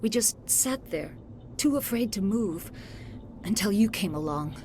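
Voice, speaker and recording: A woman's voice: medium pitch, animated, a little slow, noisy background, lightly acted. An actress playing an earnest, shaken female research scientist in a video game, giving articulate accounts. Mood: haunted. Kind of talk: cinematic video game acting.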